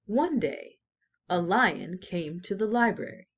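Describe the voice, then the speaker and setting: A woman reading a children's story aloud expressively with deliberate emphasis, on slightly dull lo-fi sound. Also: mid-range voice, expressive, slightly rough.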